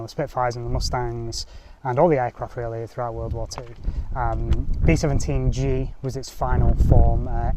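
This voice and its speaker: A man's voice: medium pitch, background noise. A young man talking casually to camera outdoors on a mountainside, with lots of ums and restarts. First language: English. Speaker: history vlogger. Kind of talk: casual history talk to camera.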